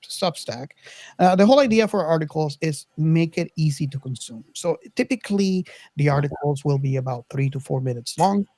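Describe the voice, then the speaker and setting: A podcast host talking casually to listeners and co-hosts, haltingly and searching for words. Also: mid-range voice, warm tone, dry recording.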